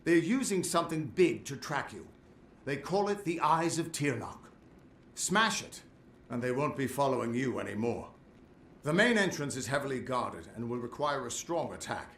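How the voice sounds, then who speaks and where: A man's voice: medium pitch, expressive, normal speed, a bit bright, reverberant, a little hiss or hum. A man playing a middle-aged, boastful but loyal veteran adventurer in a fantasy game, with a theatrical, earnest delivery. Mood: grave, informative. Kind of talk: fantasy game dialogue acting.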